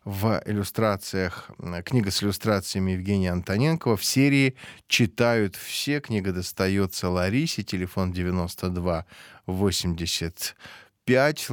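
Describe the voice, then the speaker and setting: A man with a fairly low voice hosts a radio quiz. He talks in a chatty way and often pauses on hesitation fillers. His voice is close and dry, with no room sound around it. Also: no room sound. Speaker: radio host. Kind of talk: radio quiz prize announcement.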